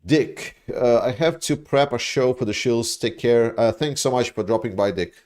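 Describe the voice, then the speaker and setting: A man from Latvia, hosting a casual livestream and reading viewer chat, rambling and animated, with frequent swearing. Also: medium pitch, moderate pace. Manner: rambling, animated, swears, mocks opponents in put-on voices.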